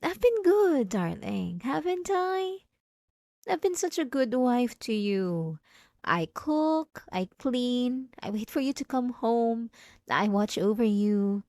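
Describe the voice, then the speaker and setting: Woman playing yandere wife, possessive and defensive, unhurried halting delivery, close dry sound, coaxing, ASMR roleplay. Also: a little high, animated, a little mellow, no room sound. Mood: pleading, self-justifying. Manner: stammering, coaxing, halting with nervous self-corrections. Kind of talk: ASMR roleplay drama, intimate monologue to listener.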